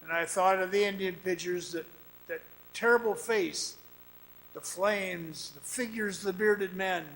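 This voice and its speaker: An actor playing an anxious, halting miner trapped underground in a suspense radio drama. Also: male voice, high-pitched, fairly bright tone, poor audio. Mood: haunted, brooding. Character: frightened trapped miner, jittery, recounting his ordeal. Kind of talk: first-person suspense radio drama acting. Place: performing arts center stage.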